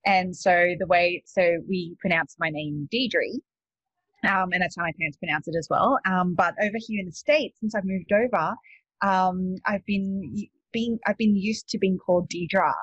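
A woman's voice: lowish voice, normal speed, fairly bright tone, breathy edge, no room sound. A woman with an Australian accent, a guest coach chatting casually, laughing often and using many fillers. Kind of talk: casual webinar talk. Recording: dull lo-fi dry sound.

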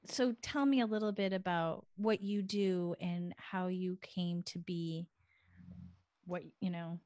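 A woman's voice: fairly low pitch, steady tone, slow pace. A woman hosting a podcast, reading a prepared guest introduction and then chatting casually over a video call. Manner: warm, reads a scripted intro then chats. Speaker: podcast host. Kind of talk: podcast interview.

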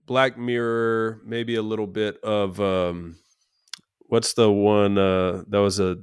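Young adult male podcast host, gravelly and hoarse from a sinus infection, low-pitched, dry close sound. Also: little pitch movement, slow pace, dry recording. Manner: easygoing, chatty, leads the topics. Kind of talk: casual chat.

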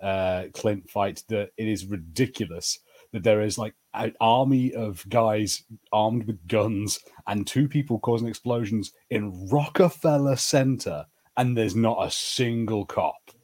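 A man's voice: lowish voice, measured pace, a little mellow. A man co-hosting a podcast, giving his opinions in casual pop-culture banter. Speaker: podcast co-host.

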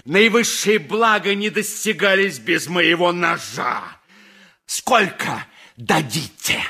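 An actor playing a boozy, roguish, menacing sea captain in a theatrical radio play, with a raspy voice. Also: male voice, high-pitched, animated, slow pace, bright, forceful tone, full character voice. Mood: sardonic. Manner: theatrical, swooping, talks to his vodka. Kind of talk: 1980s radio play acting.

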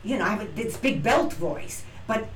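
A middle-aged woman, an actress and acting teacher, telling her story as an interview guest, animated and acting out quoted lines with frequent restarts. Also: medium pitch, moderate pace. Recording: roomy, distant from mic, faint noise.

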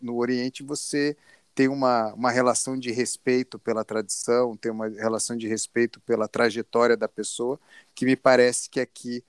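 A man's voice: medium pitch, level tone, moderate pace, clear, resonant tone, no room sound. Brazilian man thinking aloud, dry close sound. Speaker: panelist. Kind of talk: reflective discussion.

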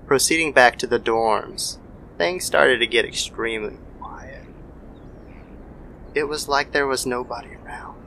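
A man's voice: medium pitch, a little slow, bright, forceful tone, slight background noise, poor audio. A man narrating a scripted horror story in the style of old-time radio. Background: background music.

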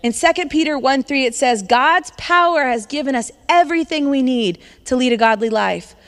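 A woman's voice: sits high, lively intonation, normal speed. A woman in her thirties preaching a sermon in a conversational, upbeat way, laughing now and then. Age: 30s. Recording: long hall reverb.

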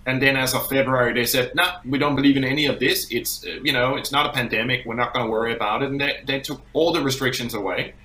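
Man, bright forceful voice, roomy echo, conversational expert commentary, halting repeated words. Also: mid-range voice, steady tone. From Denmark. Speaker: hospitality industry expert guest. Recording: roomy, echoey sound.

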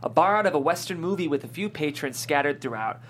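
Male script narrator, lively varied intonation, higher-than-average pitch, comedy table read, background hum. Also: quick pace. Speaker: stage-direction reader. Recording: clear background noise and hum.

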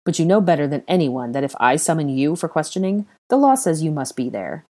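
A woman's voice: deep voice, very animated, a little fast, darkish tone. A woman voicing a polite, firm young man with a level, stoic manner in an audiobook story.

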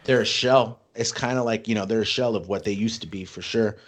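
A man's voice: fairly low pitch, a little fast. A middle-aged man speaking bluntly and earnestly, with casual swearing, as a podcast co-host. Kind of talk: casual chat.

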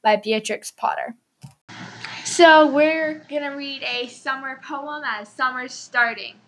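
Fairly high-pitched woman reading a children's story. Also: takes it slowly, fairly bright tone, poor audio.

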